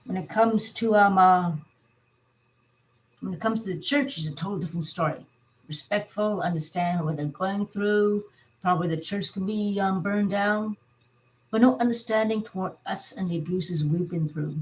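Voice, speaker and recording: A woman giving informal opinion commentary as a vlog host, speaking in a halting but emphatic way. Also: medium pitch, rough recording.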